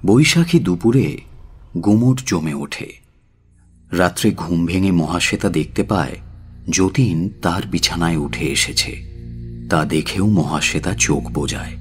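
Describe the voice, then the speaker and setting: A deep-voiced man narrates a dramatic audio story over faint music. His delivery is slow and solemn, with widely moving, expressive intonation.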